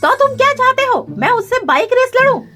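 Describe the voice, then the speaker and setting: A woman's voice: very high voice, very animated, a little fast, pushed and bright, soft background music, pitched above the performer's own voice, exaggerated voice. A woman voicing a boastful male cartoon crow who is cocky and dismissive. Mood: scornful. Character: boastful, sexist male crow, champion bike racer.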